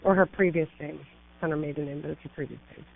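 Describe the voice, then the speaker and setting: A woman's voice: low voice, fairly even intonation, fast, gravelly, crowd noise. A middle-aged woman attorney calling an emergency line, urgent and pleading, piling up emphatic lists of complaints. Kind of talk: emergency phone call. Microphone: telephone. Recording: tinny phone line.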